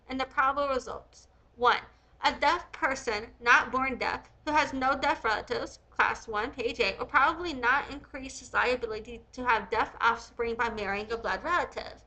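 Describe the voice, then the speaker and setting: A woman's voice: on the high side, bright, forceful tone, rough recording. A woman reading aloud and commenting as a host, in a blunt, opinionated, casual way. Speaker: host reading and critiquing a historical text.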